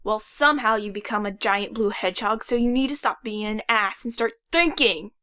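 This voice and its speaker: A woman's voice: mid-range voice, normal speed, pushed and bright, natural voice. A woman playing a brainy, exasperated young boy inventor in a fan audio drama, explaining things in a precise, know-it-all way. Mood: exasperated, sceptical.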